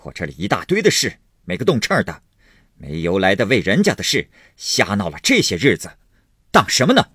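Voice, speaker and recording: A man's voice: low voice, big pitch swings, moderate pace, pauses often, a bit bright, slightly rough, no echo, studio quality, full character voice. A man playing a hot-tempered, sneering husband who blusters, snorts and grumbles sarcastically in a storybook reading. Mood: furious.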